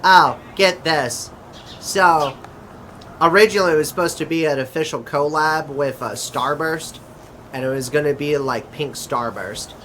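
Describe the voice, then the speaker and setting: A man acting a chatty interdimensional adventurer in a conversational audio drama, with a halting, hesitant delivery. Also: a little high, pushed and bright, a little hiss or hum. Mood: casual, bemused.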